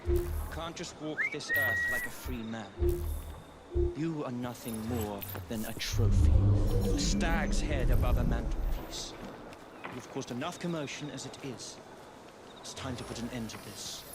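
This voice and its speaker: Slow, sneering villain played by a man. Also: mid-range voice, lively intonation, lightly acted. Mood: cold contempt. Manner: taunting, contemptuous, drawn-out delivery. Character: cruel, gloating English villain, man working for a colonial company. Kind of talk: video game cutscene acting. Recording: dramatic music behind. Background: cinematic music.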